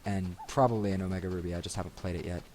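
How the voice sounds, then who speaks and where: A man's voice: deep voice, steady tone, rapid-fire, darkish tone, a little hiss or hum. A man giving casual, rambling commentary as he plays a video game, recorded on a desk microphone. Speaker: let's play host. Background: game music.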